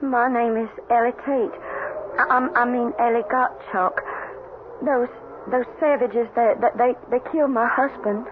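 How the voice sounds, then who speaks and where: An actress playing a timid, distraught young frontier widow in an old radio drama, stammering breathlessly in folksy rural speech. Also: female voice, fairly high pitch, fairly even intonation, unhurried, close to an everyday voice. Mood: distraught, dazed. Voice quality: faltering, breathless. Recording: muffled, noisy old radio broadcast.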